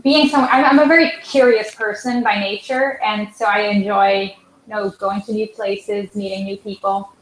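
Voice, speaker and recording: A woman's voice: mid-range voice, steady tone, normal speed. Woman with a Swiss German accent, hesitant and full of fillers, echoey room on a video call. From Switzerland. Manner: hesitant, many fillers and restarts. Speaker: guest pianist. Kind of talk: live online interview.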